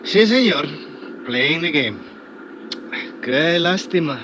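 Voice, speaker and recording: An actor playing an earnest, friendly local guide in a 1950s radio drama. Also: male voice, fairly high pitch, lively intonation, slow. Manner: earnest, broken English. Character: local man, friendly guide, Spanish accent. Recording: dull old radio recording with hiss.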